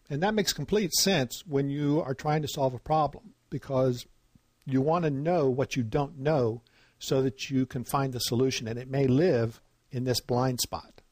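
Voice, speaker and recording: A man's voice: mid-range voice, a little mellow, dry recording. A man giving an informal lecture, talking in a conversational way with lots of fillers and asking "right?" to check in. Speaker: instructor.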